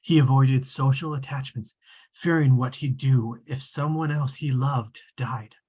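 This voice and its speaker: A performer reading the part of an omniscient, wry storybook narrator in a measured, formal way at a table read. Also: medium pitch, fairly even intonation, a little mellow, slightly rough.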